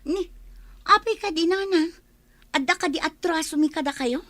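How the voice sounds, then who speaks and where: A woman's voice: very high-pitched, normal speed, fairly bright tone, no room sound. A woman with an Ilocano accent playing a worried, nagging village wife in a radio comedy drama. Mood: anxious, questioning.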